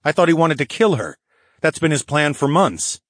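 A man plays a tough young-hearted dragon hero in a fantasy audiobook. The voice is husky and animated in pitch, with a cocky, needling, sarcastic manner. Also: normal speed, no room sound, studio quality. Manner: taunting, sneering.

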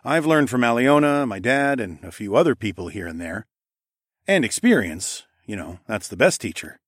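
A man's voice: mid-range voice, sing-song, moderate pace, no room sound, clean studio sound. A man voicing a careful, self-assured nobleman and protective husband in an audiobook. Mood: relieved. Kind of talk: audiobook drama dialogue.

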